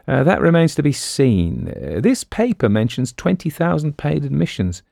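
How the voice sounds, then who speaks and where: A man's voice: fairly high pitch, huge pitch swings, moderate pace, gravelly, warm tone, no room sound, clean studio sound, voice pushed up, exaggerated voice. A man voicing a clever, pompous con man in an audiobook, breezy and bossy. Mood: scheming. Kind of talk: audiobook character voice.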